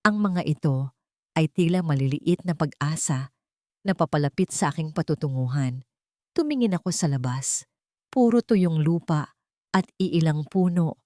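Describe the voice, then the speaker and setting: Woman narrator, low voice, dry sound. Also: warm tone, no echo. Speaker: audio story narrator. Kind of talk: dramatic first-person scripted narration of a sentimental story.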